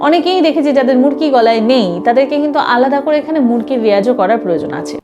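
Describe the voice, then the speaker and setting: Female music teacher, high voice, emphatic explanatory delivery, instrument playing behind. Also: expressive, racing, muffled recording. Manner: explanatory, emphatic, with English terms mixed in. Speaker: singing teacher. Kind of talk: instructional music lesson to camera.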